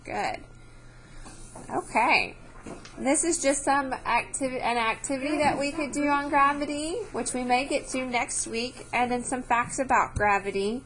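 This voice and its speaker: Higher-voiced woman teaching children. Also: measured pace, fairly bright tone, a little hiss or hum, poor audio. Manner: instructive, checks understanding with short questions. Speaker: teacher reading a book aloud to children. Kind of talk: read-aloud science lesson with questions to children.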